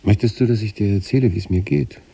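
An actor playing a troubled, detached sanatorium patient who recites letters to his wife in a measured, brooding radio play monologue. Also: fairly low pitch, vocal fry, mellow, breathy edge. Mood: uneasy, restrained.

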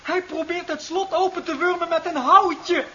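A frightened, superstitious young servant in an old radio drama, with a very high, piercing voice. The speech is breathless and stammering, and the recording is dull and hissy. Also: fairly even intonation, normal speed, pushed and bright. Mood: panicked. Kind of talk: 1970s Dutch radio drama acting.

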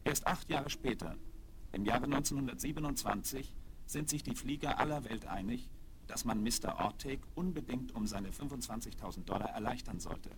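Measured male radio-play narrator. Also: medium pitch, background noise. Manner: measured, factual storytelling. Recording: vintage vinyl record.

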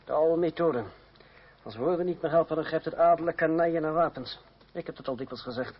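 An actor playing a self-controlled gentleman fencing master in a radio drama, polite, dry and sarcastic. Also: on the high side, a little fast, breathy edge. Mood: dry, cynical. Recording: dull noisy old radio recording.

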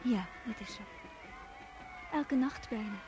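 An actress playing a gentle, withdrawn, curious young woman, speaking simply and intimately in a radio play. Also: on the high side, slow, music in the background. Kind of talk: Dutch radio play acting.

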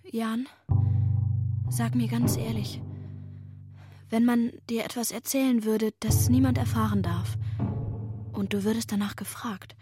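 An anguished, insistent young woman in a radio drama. Her voice is fairly high, with faint music behind it. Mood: anxious, pleading. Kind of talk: German radio play acting.

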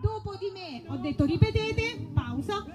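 Indignant high-voiced woman comedian. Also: animated, a little slow, over loud music. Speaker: stage comedian. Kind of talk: conversational comic bit, mock phone call.

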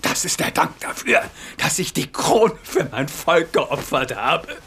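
A man's voice: on the high side, very animated, measured pace, sharp tone, breathy edge, heavily acted. A man playing an embittered, pompous old deposed German Kaiser in a satirical radio play, plaintive and indignant. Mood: self-pitying. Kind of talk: satirical radio play acting with sung passages.

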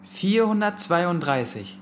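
A man's voice: high-pitched, big pitch swings, takes it slowly, a little hiss or hum, heavily acted. A young male actor playing a curious, stubborn teenage boy in naturalistic radio drama, sounding youthful and thoughtful. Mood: curious, blunt.

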